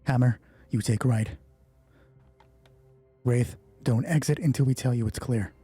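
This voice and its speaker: Man as a dark-voiced, dutiful squad soldier. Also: medium pitch, fairly even intonation, a little slow, husky, faint music behind. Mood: businesslike. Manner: terse, matter-of-fact. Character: gruff, dutiful soldier in a special forces squad. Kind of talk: tabletop roleplay character voice.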